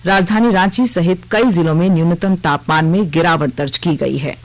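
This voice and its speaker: A woman with an Indian accent reads a scripted radio news bulletin in a formal, measured way. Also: lowish voice. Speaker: radio newsreader.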